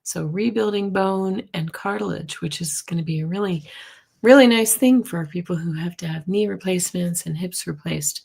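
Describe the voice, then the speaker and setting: A middle-aged woman with a hoarse, husky voice, chatting casually as host of a live tarot and astrology stream and reacting to viewer comments. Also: fairly low pitch, normal speed, a little mellow. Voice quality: husky, gravelly voice. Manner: chatty, reacts to comments, coughs and clears throat. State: hoarse from losing her voice.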